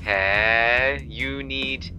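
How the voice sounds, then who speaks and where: A man voicing an excitable, mocking adventuring companion in a comedic fantasy audio drama, with teasing, exaggerated delivery. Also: medium pitch, extremely slow, faint music behind, heavily acted. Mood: mocking, amused.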